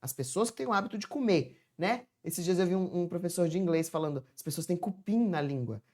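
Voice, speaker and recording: A woman with a somewhat low-pitched voice teaches a grammar lesson from home. She talks to viewers in a chatty, familiar way and slowly stretches words out syllable by syllable to show their sounds. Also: animated, a little mellow. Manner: chatty, addresses viewers as "gente". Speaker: Portuguese teacher. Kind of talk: informal online grammar lesson.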